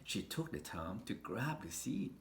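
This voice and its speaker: A man reading a children's picture book aloud in an expressive, deliberate storytelling manner with dramatic pauses. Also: lowish voice, vocal fry. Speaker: storybook reader. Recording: roomy echo with faint background noise.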